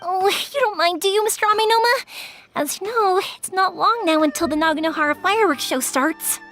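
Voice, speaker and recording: A woman's voice: very high-pitched, brisk, a bit bright, faint music behind. A young woman playing a cheerful, talkative fireworks-shop owner in a video game. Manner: bubbly, talkative.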